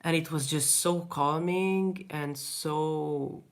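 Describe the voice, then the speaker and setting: Slow, low-voiced Hungarian woman chatting. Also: steady tone. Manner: hesitant, many restarts, frequent soft laughs. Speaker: artist answering viewer questions. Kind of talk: casual livestream Q&A chat.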